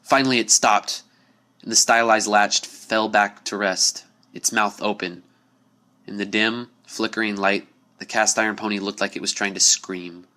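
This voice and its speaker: A man's voice: on the low side, steady tone, moderate pace, pushed and bright. A man giving a dramatic scripted narration of a spooky story. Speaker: story reader.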